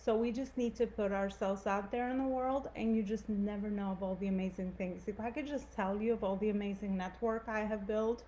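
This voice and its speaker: A woman's voice: medium pitch, fairly even intonation, fairly quick, few pauses, warm tone. Earnest Albanian-accented woman, lightly noisy. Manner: earnest, conversational, frequent "right?" and "you know". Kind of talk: live motivational talk to viewers.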